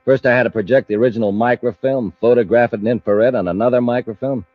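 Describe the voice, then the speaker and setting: An actor playing a smug, scheming, boastful crook in an old-time radio space serial. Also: male voice, mid-range voice, fairly even intonation, fast, close to an everyday voice. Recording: dull lo-fi old radio recording.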